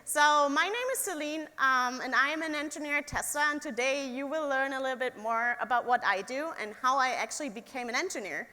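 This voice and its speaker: High bright German-accented woman in a hall. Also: brisk, hardly any pauses. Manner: friendly, asks the audience questions, many ums. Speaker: engineer giving a talk. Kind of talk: presentation to an audience. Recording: reverberant hall.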